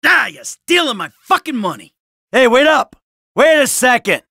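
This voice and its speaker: A man's voice: extremely high pitch, big pitch swings, normal speed, creaky, sharp tone, no room sound, studio quality, full character voice. An actor playing a sarcastic, hot-tempered, middle-aged American man in a midlife crisis, in short exasperated video game lines. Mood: agitated, shouting. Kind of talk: video game character barks.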